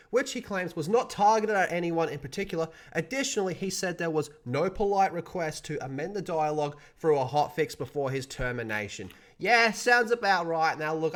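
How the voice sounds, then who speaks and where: Male gaming news host, slightly high voice, casual and sardonic, speaks bluntly with loose, sweary commentary.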